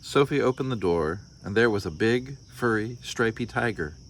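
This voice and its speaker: A man's voice: lowish voice, lively intonation, normal speed, fairly bright tone, a little hiss or hum. An American man reading a children's story aloud, breaking off for wry, casual asides. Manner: wry, joking commentary. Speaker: storybook reader.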